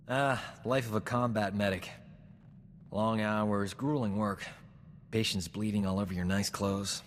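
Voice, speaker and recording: An actor playing a cocky, flirtatious roguish combat medic in a video game, with a smug, suave, breezy delivery. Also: male voice, lowish voice, in a large hall. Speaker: combat medic companion character. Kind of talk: video game dialogue acting.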